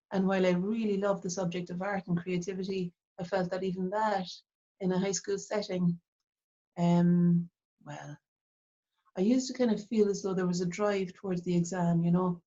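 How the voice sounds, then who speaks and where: A middle-aged woman with an Irish accent from Cork, talking reflectively in an interview and pausing often with fillers and restarts. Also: on the low side, dark tone, dull audio. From Ireland. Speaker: artist, interview guest. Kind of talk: casual interview talk.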